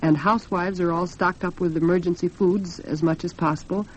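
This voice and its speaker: A woman's voice: on the low side, fairly even intonation, fairly quick, darkish tone, slight background noise. An American woman hosting a 1940s radio talk program, speaking in an earnest, articulate and polished way. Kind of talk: 1940s radio women's talk program, commentary and sponsor read. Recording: dull lo-fi 1940s radio recording.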